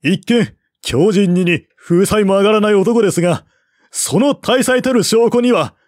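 A man's voice: sits high, a little slow, darkish tone, dry recording, studio quality, pitched above the performer's own voice. A man voicing an earnest, loyal royal advisor in an audiobook, polite, formal and persuasive. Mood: urgent pleading. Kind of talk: audiobook character voice.

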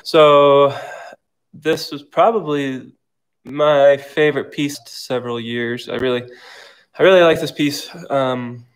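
A man's voice: mid-range voice, steady tone, slow, clean tone. Casual man talking about his art. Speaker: ceramic artist. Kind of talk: casual informal talk about own artwork. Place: indoors in a room at home.

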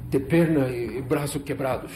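A man's voice: mid-range voice, normal speed, lightly acted. A Brazilian man playing an anxious, deferential henchman in a 1970s telenovela. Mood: worried. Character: worried henchman of a woman boss. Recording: echoey old TV audio, music behind.

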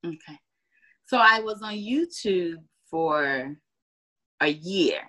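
Woman, Ghanaian-American accent, bright tone, animated, webcam on a video call, home living room. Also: slow pace. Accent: Ghanaian-American, in between. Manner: animated storyteller, acts out quoted voices with big pitch jumps. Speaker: interview guest, cooking creator. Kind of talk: casual video-call interview.

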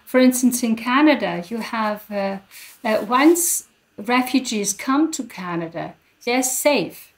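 An older woman from Germany giving careful, halting explanations as an academic guest, speaking into a headset on a video call. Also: on the high side. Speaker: guest professor. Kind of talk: explanatory interview answers.

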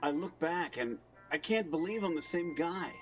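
A man's voice: a little high, expressive, moderate pace, faint music behind, natural voice. An actor playing a smugly satisfied, self-assured man giving an advert testimonial in an audio drama. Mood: smug, pleased.

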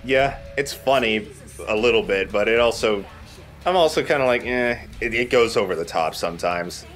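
A man's voice: lowish voice, normal speed, a bit bright, soft background music. A man hosting a let's play, talking casually as he comments on a video game.

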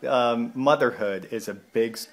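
A man interviewing someone casually, with halting, informal questions. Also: mid-range voice. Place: indoors at home. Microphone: handheld phone, close behind the camera.

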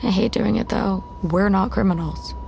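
A young woman in a naturalistic audio drama. Her voice is heavily creaky and sits at a middling pitch. She is guarded and wry, over a noisy ambient bed. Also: moderate pace, darkish tone. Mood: matter-of-fact.

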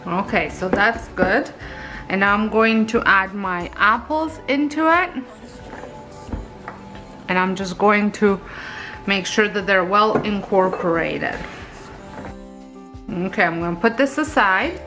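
A woman walks through a recipe in her home kitchen, speaking slowly and casually and pausing to correct herself. Her voice sits in a mid range. Music plays clearly behind her. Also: mid-range voice. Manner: relaxed, chatty, with fillers and self-corrections. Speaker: baking video host. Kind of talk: step-by-step baking narration.

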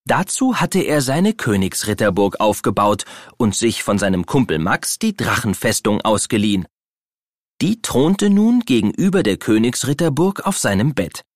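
A man narrating a children's audio play as an expressive, dramatic storyteller. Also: mid-range voice, normal speed, dry recording, studio quality.

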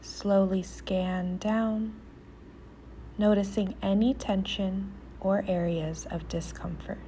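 A woman leading a guided meditation in a soft, soothing, often near-whispered voice with pauses. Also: medium pitch, steady tone, a little hiss or hum.

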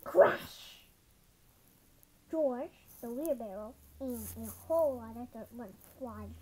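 A storybook reader doing an expressive children's picture-book read-aloud, sometimes stumbling over words, recorded indoors at a table. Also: mid-range voice, slow, slightly rough, low-quality recording. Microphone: camera over the book.

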